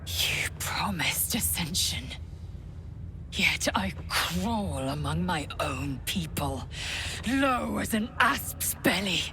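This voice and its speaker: A woman's voice: mid-range voice, a little hiss or hum, exaggerated voice. A woman playing a fierce, proud, devout alien warrior in a video game, speaking intensely in solemn, archaic phrases. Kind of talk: dramatic video game acting. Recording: loud music behind the voice. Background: loud music.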